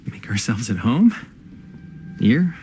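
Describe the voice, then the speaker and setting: A man's voice: medium pitch, big pitch swings, takes it slowly, a little mellow, a little hiss or hum. An actor playing a laid-back, wry young man with a casual way of talking in a video game. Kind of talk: naturalistic video game acting.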